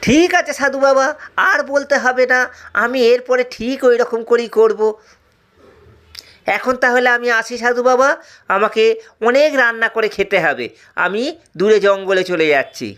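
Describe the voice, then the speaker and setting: A man's voice: extremely high pitch, normal speed, pauses often, a bit bright, clear, resonant tone, voice pushed up. A man voicing a hungry, hot-tempered young male disciple who complains in a whiny, indignant way. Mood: eager. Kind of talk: storytelling voice acting.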